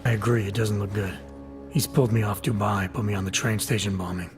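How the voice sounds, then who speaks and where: A low-voiced male game agent, played by an actor, guarded and skeptical. He talks with restraint and no nonsense, over a music score. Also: fairly even intonation, brisk, lightly acted. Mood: guarded, determined. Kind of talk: video game cutscene acting.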